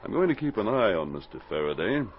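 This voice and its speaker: An actor playing a kindly, steady police sergeant in 1940s radio drama, firm and earnest. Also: male voice, fairly low pitch, quick pace. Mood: earnest, consoling. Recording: muffled, noisy 1940s radio recording.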